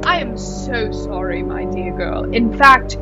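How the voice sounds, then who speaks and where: Woman acting a slow, haughty society hostess. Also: mid-range voice, muffled recording, natural voice. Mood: coolly dismissive. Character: haughty, falsely gracious wealthy hostess. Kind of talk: theatrical radio play acting. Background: prominent music.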